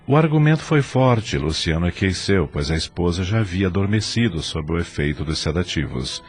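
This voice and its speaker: Male narrator, Brazilian accent, deep register, dramatic scripted read over light background music. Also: animated, moderate pace. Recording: music under the voice.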